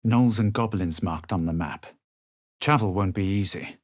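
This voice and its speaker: A man voicing a haughty, cool young adventurer hero in a video game, theatrical and measured, with a husky voice. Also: low voice, no echo, studio quality, natural voice. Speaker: video game player character. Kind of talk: scripted game voice lines, dramatic narration and barks.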